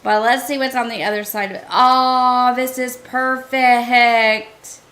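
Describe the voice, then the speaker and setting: Woman game streamer, casual running commentary, bright tone, a touch hoarse. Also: fairly high pitch, steady tone, takes it slowly. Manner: chatty, thinking aloud, talks to chat. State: hoarse, losing her voice.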